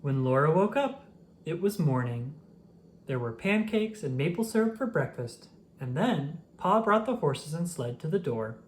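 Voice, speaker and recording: Storybook narrator, slow gentle delivery, mid-range voice, read-aloud for children. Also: mid-range voice, very animated, slight background noise. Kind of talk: reading a children's picture book aloud.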